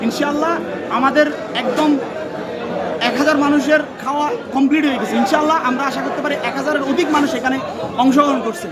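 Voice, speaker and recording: A man with a very high voice speaks with earnest gratitude. A loud crowd surrounds him. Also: steady tone, fast talker, pauses often. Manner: earnest, grateful. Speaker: event organizer. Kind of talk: interview statement.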